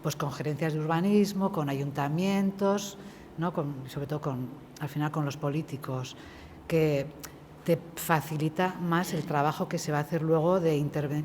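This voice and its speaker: A woman's voice: deep voice, a little mellow, slight background noise. A woman in the audience giving an earnest, emphatic comment from the floor at a conference, thinking aloud and restarting her sentences. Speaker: audience member. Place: conference hall. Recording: reverberant hall with faint ambience.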